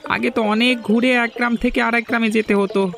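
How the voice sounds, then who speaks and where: A man's voice: very high-pitched, fairly even intonation, brisk, creaky, fairly bright tone, faint music behind, full character voice. A man playing a timid, earnest young villager in a fairy-tale cartoon, with an anxious, questioning manner. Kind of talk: dramatic dubbed cartoon dialogue.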